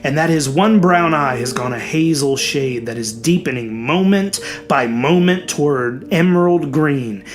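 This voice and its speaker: A man's voice: on the high side, measured pace, few pauses. A man reading a scripted horror story as a dramatic narrator. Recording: music behind the voice. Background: music.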